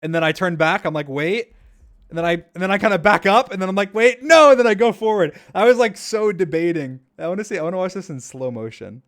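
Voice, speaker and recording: A man's voice: high-pitched, fast, a bit bright. A man casually commenting on his own gameplay clips during a stream, rambling and repeating himself with enthusiasm.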